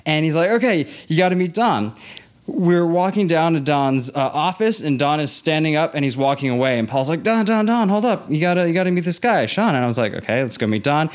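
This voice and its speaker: A man's voice: fairly high pitch, brisk. A man who grew up in Hong Kong, telling a casual story as an interview guest and acting out the dialogue. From born in Los Angeles, grew up in Hong Kong. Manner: animated retelling with quoted dialogue. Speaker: interview guest, theme park industry professional.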